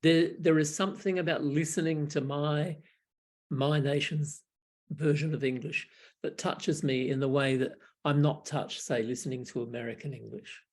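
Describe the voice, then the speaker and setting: Older Australian man, gravelly and husky. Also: a little high, fairly even intonation, moderate pace. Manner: reflective, wry, chuckling, frequent restarts. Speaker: Buddhist monk. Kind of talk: casual storytelling on a video call. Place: small room at home. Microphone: video call webcam.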